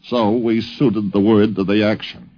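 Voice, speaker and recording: Slow, deliberate man telling an anecdote, vintage radio. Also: lowish voice, steady tone, loud music behind the voice. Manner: measured, deliberate, pausing mid-sentence. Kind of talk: anecdote told from memory. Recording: dull 1950s radio recording.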